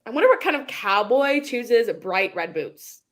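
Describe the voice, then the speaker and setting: Woman playing a lively, chatty shop clerk. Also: on the high side, unhurried. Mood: curious, casual. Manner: casual, chirpy. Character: chatty, friendly young thrift store clerk. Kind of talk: dramatic script reading.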